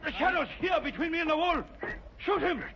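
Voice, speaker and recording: A man's voice: very high voice, animated, brisk, a crowd in the background. A man playing a cunning, commanding foreign spy ringleader in a menacing 1940s radio drama style. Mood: frantic. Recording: dull, noisy old-time radio recording.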